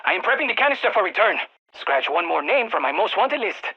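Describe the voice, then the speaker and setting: Nerdy scientist on mission radio, super animated, bit raspy, muffled comms sound, video game. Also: male voice, sits high, fast talker, full character voice. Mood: brisk, focused. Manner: geeky, eager, precise technical talk. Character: excitable nerdy male field scientist guiding soldiers by radio. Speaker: mission-support scientist character in a shooter game. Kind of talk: scripted game voice lines, radio briefings.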